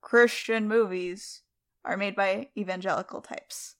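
Female co-host, mid-range pitch, informal discussion, halting delivery with asides. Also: fairly even intonation, a bit bright. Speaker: podcast co-host. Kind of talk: casual discussion.

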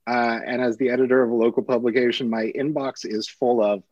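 A man's voice: medium pitch, level tone, brisk, a little mellow, no room sound, dull audio. A man interviewing film guests, casual and laughing, swearing freely. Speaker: interviewer, local editor.

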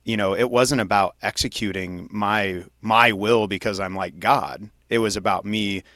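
Male podcast interviewer, low but bright forceful voice, informal and affirming. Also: fairly even intonation, measured pace, no echo. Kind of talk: casual chat.

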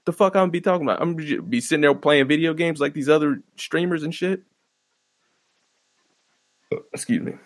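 A man hosting his own podcast, rambling in a laid-back, profane way with a fairly monotone voice and lots of fillers. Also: a little high, fast talker, dry recording, studio quality. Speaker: podcast host. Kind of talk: casual solo podcast commentary. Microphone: close podcast microphone.

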